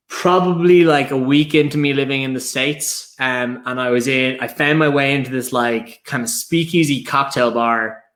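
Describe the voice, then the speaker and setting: A man's voice: mid-range voice, a bit bright. Irish man telling a rambling story. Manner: rambling storyteller, lots of fillers and restarts. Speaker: host. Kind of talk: casual live-stream chat.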